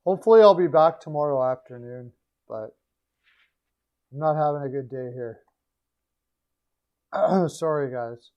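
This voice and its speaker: A man hosting a live hockey chat stream, hoarse and losing his voice, talking in a low-energy, hesitant way. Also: expressive, a little slow, long pauses. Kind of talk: casual hockey chat with viewers. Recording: dull lo-fi stream audio.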